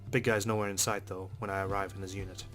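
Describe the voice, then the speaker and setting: Male let's play host narrating game text: rapid, lowish delivery, casual, music clearly underneath. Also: flat delivery, a bit bright. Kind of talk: reading game narration aloud with casual asides. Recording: music behind the voice.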